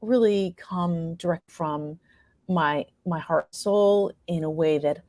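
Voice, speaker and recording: A woman with a fairly low voice gives reflective answers in an interview. She speaks earnestly and haltingly, feeling her way toward the right word. The sound is very dry, with hardly any room on it. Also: a little mellow, no room sound. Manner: hesitant, searching for words, earnest. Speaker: playwright and performer being interviewed.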